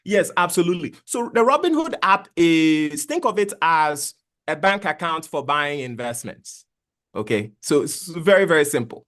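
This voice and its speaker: High-voiced man from Ghana explaining money. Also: big pitch swings, normal speed, a bit bright. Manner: patient, plain-spoken explainer. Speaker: guest finance expert. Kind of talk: explaining investing in an interview.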